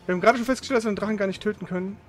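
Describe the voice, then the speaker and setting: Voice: mid-range voice, very fast. A streamer giving casual live commentary on a video game, thinking aloud and asking questions while playing. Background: game music.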